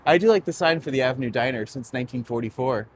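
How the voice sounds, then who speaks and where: A man's voice: mid-range voice, fast talker, slight background noise. A young man hosting a live-streamed walking tour outdoors on a city street, rambling and chatty with lots of fillers, recorded on a phone.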